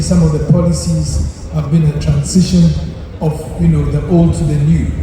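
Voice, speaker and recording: Male official, formal orator, measured and emphatic; distant, reverberant hall sound with clear noise. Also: on the high side. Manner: measured, oratorical, with deliberate pauses and emphatic phrases. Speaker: chief executive of a government agency. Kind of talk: formal public speech at an awards ceremony. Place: large hall at an evening event. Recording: echoey hall PA from far off, noisy.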